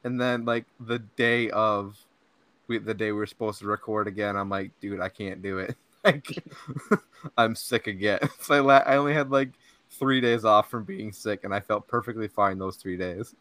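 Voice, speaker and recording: A man's voice: on the low side, moderate pace, fairly bright tone, dry recording. A young man hosting a podcast, chatting casually and rambling, laughing often and saying "like" and "dude" a lot. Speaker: podcast host. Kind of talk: casual chat. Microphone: podcast mic.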